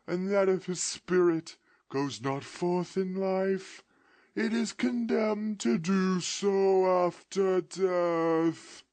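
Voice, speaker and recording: A man's voice: sits high, darkish tone, no room sound, voice pushed up. A man putting on a wailing, chained ghost. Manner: slow, drawn-out, lamenting. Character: wailing chained male ghost, doomed, mournful.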